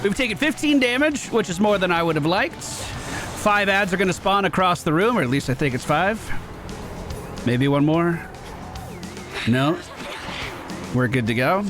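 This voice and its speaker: Male gaming streamer: chatty, informal, higher-than-average voice with very varied intonation. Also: expressive, measured pace, pauses often, music in the background. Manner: chatty, informal, reads game text aloud. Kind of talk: casual gameplay commentary.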